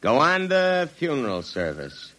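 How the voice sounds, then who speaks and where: An actor playing a surly, sarcastic hearse driver who needles gruffly in an old-time radio drama. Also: male voice, medium pitch, wildly swooping pitch, unhurried, pushed and bright, heavily acted. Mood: sarcastic, irritated. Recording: vintage radio recording, some noise.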